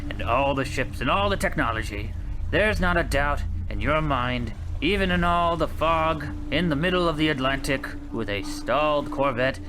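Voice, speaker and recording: A man's voice: a little high, lively intonation, close to an everyday voice, rough recording. A man as a stern, secretive navy captain. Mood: probing. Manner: measured, probing, commanding. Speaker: ship captain. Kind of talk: radio drama acting. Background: music.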